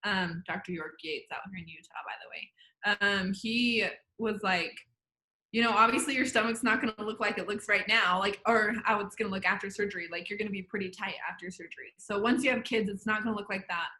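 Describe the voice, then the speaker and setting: A woman's voice: medium pitch, brisk, bright, forceful tone. A woman, a podcast guest chatting casually and rambling, with lots of "like". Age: 20s. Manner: rambling, heavy use of "like". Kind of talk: casual chat.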